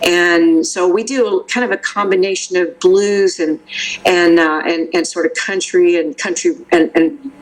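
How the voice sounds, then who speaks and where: A woman's voice: on the low side, steady tone, unhurried, low-quality recording. An older woman from the Memphis area, a vocal coach talking casually in an interview, rambling with restarts and fillers.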